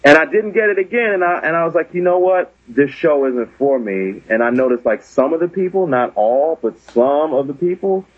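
A man's voice: a little high, normal speed, hardly any pauses, slightly rough, dry recording, narrow, tinny audio. A man, a comedian guest on a podcast, telling a story in an earnest, self-deprecating way.

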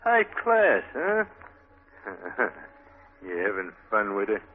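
A man's voice: lowish voice, huge pitch swings, slow, full character voice. Menacing new gangster, sneery tough guy, old tinny radio drama. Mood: mocking. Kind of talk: 1940s radio drama acting. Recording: tinny, band-limited old radio broadcast.

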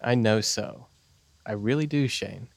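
An actor playing an earnest religious mentor at a camp, coaxing gently and preaching reassurance in a naturalistic audio drama. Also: male voice, mid-range voice, measured pace, creaky, no room sound.

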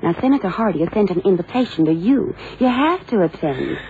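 An actress playing a poised, efficient young secretary to a detective in a vintage radio drama. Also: female voice, mid-range voice, big pitch swings, brisk, a little hiss or hum. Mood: firm, coaxing. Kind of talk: 1970s radio drama acting. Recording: phone-like vintage radio recording, music behind.

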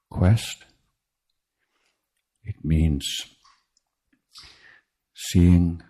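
Very deep, husky, slow-speaking man. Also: dark tone. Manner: measured, with deliberate pauses between short phrases. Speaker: Buddhist meditation teacher. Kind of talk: guided meditation talk. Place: meditation retreat.

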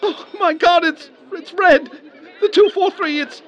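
A man's voice: extremely high pitch, measured pace, a faint crowd. A man playing an eyewitness radio reporter in an old-time disaster broadcast drama, emotional and prone to stammering. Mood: horrified, distraught. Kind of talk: 1930s radio live disaster commentary, acted.

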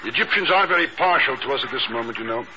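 An actor playing a skeptical, wry officer in a 1950s radio drama. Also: male voice, medium pitch, expressive, racing, lightly acted. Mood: wry doubt. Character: dry, skeptical British officer, loyal and wry. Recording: muffled old radio recording, faint noise.

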